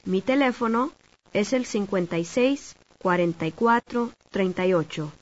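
A woman's voice: mid-range voice, a little slow. A woman reading scripted lines for a language course with careful enunciation. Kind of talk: scripted dialogue for a language lesson. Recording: dull lo-fi tape audio.

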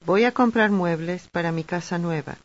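A woman's voice: fairly low pitch, normal speed. Woman in a language-course dialogue, lo-fi. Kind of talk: scripted dialogue for a language lesson. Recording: dull lo-fi tape audio.

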